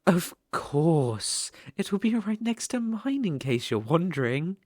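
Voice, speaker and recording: A possessive, sadistic demon ruler with a British accent, played by an ASMR performer. The delivery is slow and theatrical, with haughty mockery and big swoops in pitch. The sound is close and dry, as in an intimate roleplay. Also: very animated, a little mellow, no echo. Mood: amused, menacing. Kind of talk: ASMR roleplay, scripted monologue.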